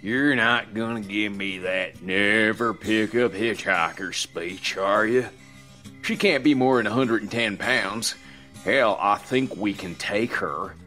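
A man doing a gruff, crude old trucker. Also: fairly low pitch, slow, sharp tone, soft background music. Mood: teasing. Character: gruff, crude older male trucker, teasing.

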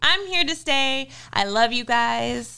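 Light little voice, woman in her 30s, slightly high, smooth and clear, chatty podcast cohost. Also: animated, slow, sharp tone. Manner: chatty, playful, self-deprecating. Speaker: podcast co-host. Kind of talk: casual chat.

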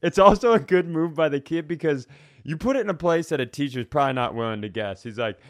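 A man's voice: a little high, expressive, fast, no room sound. Man joking in a dry-sounding room. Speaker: comedy podcast co-host. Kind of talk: joking casual chat.

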